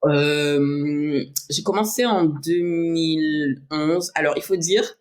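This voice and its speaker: A woman's voice: deep voice, fairly even intonation, takes it slowly, a little mellow. A woman from French Guiana, a bodybuilding athlete, talking as a guest in a casual podcast interview, reflective, with many fillers and restarts. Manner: reflective, frequent restarts and fillers, laughs easily.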